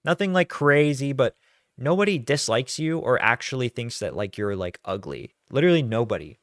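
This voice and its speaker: A man reacting to a clip with mocking, exasperated commentary, cursing freely as he picks it apart. His voice sits at an ordinary middle pitch. The sound is dry and close. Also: expressive, moderate pace, dry recording.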